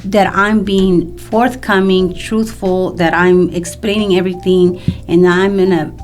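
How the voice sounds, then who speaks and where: A woman in her fifties from El Salvador speaks in a fairly low register. She talks as a podcast guest, explaining things in a loose, conversational way, with soft music underneath. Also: little pitch movement, unhurried. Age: 50s. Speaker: mortgage loan professional, podcast guest. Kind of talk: casual interview conversation. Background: music in the background.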